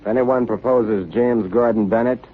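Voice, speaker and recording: An actor playing a hearty, teasing, blunt ship's officer in 1930s radio drama style. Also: male voice, medium pitch, fairly even intonation, normal speed, close to an everyday voice. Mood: brash. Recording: tinny, noisy 1930s radio recording.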